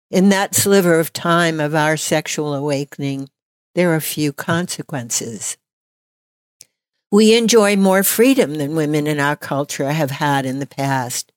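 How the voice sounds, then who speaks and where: A woman's voice: sits low, dry recording, studio quality. A woman in her eighties reading her own written personal story aloud as a storyteller. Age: 80s.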